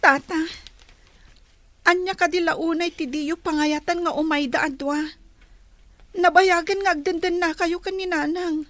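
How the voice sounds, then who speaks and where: Woman as a very high-pitched, wistful Filipino schoolgirl. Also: fairly even intonation, a little slow, long pauses, fairly bright tone, dry recording. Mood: hurt, pleading. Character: wistful teenage schoolgirl longing for united parents. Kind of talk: radio drama acting.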